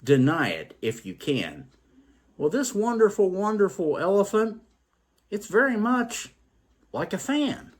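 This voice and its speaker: Guy playing a cocksure blind man in a poem, pompous, stretched slow words, pitch swooping way up. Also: sing-song, exaggerated voice. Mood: awed certainty. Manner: exclamatory, drawn-out, pompous. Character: cocksure blind man of a fable, grandly declaring. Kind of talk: theatrical poem recital.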